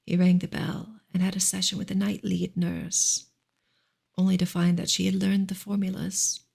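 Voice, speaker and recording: A woman reads literary prose in a soft ASMR style, her voice heavy with vocal fry and breathy around the edges. The intonation stays fairly even and gentle, slipping at times toward a whisper as she narrates in measured phrases. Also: on the low side. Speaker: ASMR reader and channel host. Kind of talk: soft ASMR reading of a novel.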